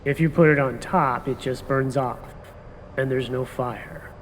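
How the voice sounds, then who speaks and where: A man's voice: mid-range voice, steady tone, unhurried, long reverb tail, slight background noise, close to an everyday voice, poor audio. A man playing an impatient, dismissive male police detective with a curt, brushing-off manner. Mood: lecturing.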